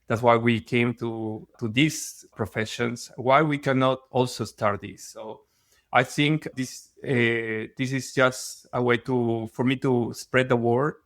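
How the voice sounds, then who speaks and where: A man's voice: medium pitch, a bit bright, no room sound, clean studio sound. A middle-aged man from Peru with a Peruvian Spanish accent, talking conversationally as a podcast guest, restarting phrases as he goes. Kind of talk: conversational interview.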